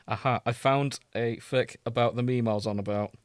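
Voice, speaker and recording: A man's voice: mid-range voice, fairly even intonation, brisk, no room sound. A man co-hosting a podcast in casual, comedic chat, with a wry, dry sense of humour. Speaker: podcast co-host. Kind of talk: casual comedic chat about fan fiction.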